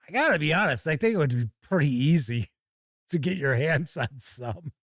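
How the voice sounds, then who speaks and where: Animated, higher-pitched man hosting a livestream. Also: unhurried, no room sound, studio quality. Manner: folksy, wry, jokey asides. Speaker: host of a live tabletop gaming news show. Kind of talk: casual livestream chat and news reading.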